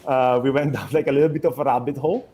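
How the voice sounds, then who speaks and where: An Italian-accented young man talks about his startup in a loose, halting way, stopping and restarting his phrases. His voice sits at a middle pitch. A faint hiss of noise runs behind him. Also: fairly even intonation, warm tone. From Italy. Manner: hesitant, many restarts and fillers. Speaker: startup co-founder. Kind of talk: conversational interview.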